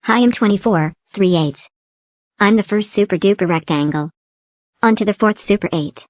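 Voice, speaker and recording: A woman's voice: medium pitch, normal speed, no room sound, lightly acted. A woman voicing a bubbly little talking number block in a fan-made cartoon, chirpy and sing-song. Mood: cheery. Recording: tinny phone-like dry recording.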